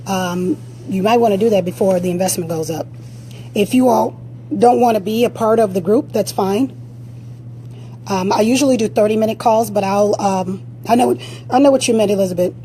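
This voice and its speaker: A woman fitness coach with a raspy voice, giving blunt, direct motivational advice during a live-stream Q&A on a phone. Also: mid-range voice, moderate pace, dark tone, a little hiss or hum, low-quality recording.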